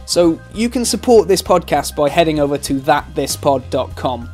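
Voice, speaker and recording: A man's voice: on the high side, lively intonation, normal speed, darkish tone. A man hosting a podcast, speaking solo in a conversational outro style. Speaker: podcast host. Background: guitar music.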